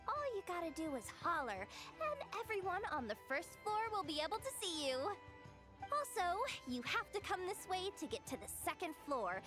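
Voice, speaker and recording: A woman voicing a pushy, self-pleased young girl in a video game, breezy, slangy and boastful. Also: sits high. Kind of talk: animated video game dialogue.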